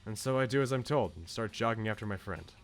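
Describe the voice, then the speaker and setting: A man's voice: lowish voice, lively intonation, fast. A man streaming a visual novel, reading aloud expressively and adding chatty asides. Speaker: game streamer. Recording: game music behind the voice. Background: video game music.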